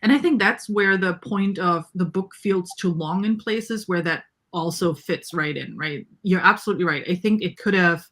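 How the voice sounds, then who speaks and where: A woman's voice: on the low side, moderate pace, hardly any pauses, a bit bright. A woman talking casually and emphatically in a book discussion, often checking agreement as she goes.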